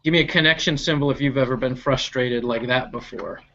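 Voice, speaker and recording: A storyteller reading a picture book aloud to children in a deliberate, expressive way, stretching out key words. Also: mid-range voice, highs cut off. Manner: animated, deliberate, stretching key action words, with asides to listeners.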